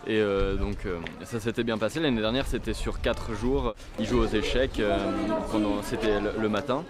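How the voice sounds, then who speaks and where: A man on staff recalling a program in a casual, halting interview. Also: lowish voice, steady tone, over loud music. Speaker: staff member.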